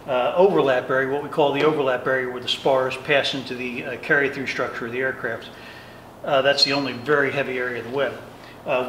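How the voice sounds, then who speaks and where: A man in his sixties giving an unscripted technical shop tour, often pausing mid-phrase as he explains. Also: on the high side, bright, forceful tone. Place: large indoor workshop and hangar. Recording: roomy workshop echo, faint noise.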